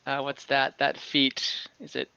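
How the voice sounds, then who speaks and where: A man narrating as dungeon master in an online tabletop game, relaxed and laughing along with his players, over slightly muffled online audio. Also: medium pitch, unhurried, gravelly, smooth. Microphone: video call mic.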